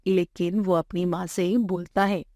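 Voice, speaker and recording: A woman's voice: lowish voice, level tone, unhurried, darkish tone, dry recording, studio quality. A woman reading scripted narration for a moral bedtime story.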